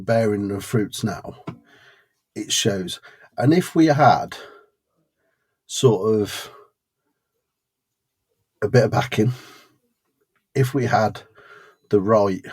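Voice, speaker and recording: A man's voice: fairly low pitch, a little mellow, breathy edge. A British man co-hosting a podcast, chatting casually with a friend. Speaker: podcast co-host. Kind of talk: casual chat between friends.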